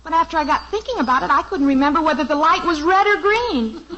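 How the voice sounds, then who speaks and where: Brief: a lovestruck teen girl in an old radio comedy, high bright voice, breathless and fretful, dull vintage sound. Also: lively intonation, fast talker. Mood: flustered. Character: flustered lovestruck teenage daughter. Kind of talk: 1950s radio acting.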